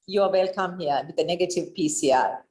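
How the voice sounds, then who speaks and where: A woman's voice: fairly low pitch, a little fast, darkish tone. A woman with a Sri Lankan accent, answering as an interview guest in an earnest, encouraging, conversational way. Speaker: interview guest, business leader. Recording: echoey, roomy sound.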